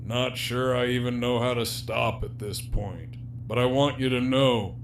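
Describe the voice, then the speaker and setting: A man's voice: mid-range voice, fairly even intonation, unhurried, natural voice. A man acting in an audio drama as a jaded, sardonic, despairing prisoner villain with a profane, wry, teasing drawl. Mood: sincere, regretful. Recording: faint background hum and noise.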